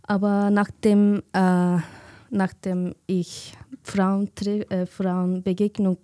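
Woman, hesitant, many pauses, dark mellow tone, dry sound. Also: mid-range voice, little pitch movement, dry recording. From Turkey. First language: Kurdish. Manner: hesitant, searching for words with many fillers. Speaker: guest on community radio. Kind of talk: casual radio chat.

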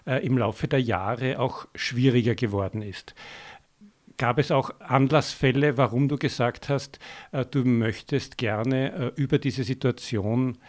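Mellow-voiced Austrian male radio host. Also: medium pitch. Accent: Austrian German. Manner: hesitant with many fillers when asking questions. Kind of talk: community radio studio interview. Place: radio studio.

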